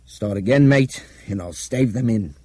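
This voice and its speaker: A man voicing an urbane, forceful Englishman in an audiobook story. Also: on the low side, animated, normal speed, husky, no room sound, voice pushed up. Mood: angry, urgent. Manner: commanding, clipped urgency with polite turns of phrase.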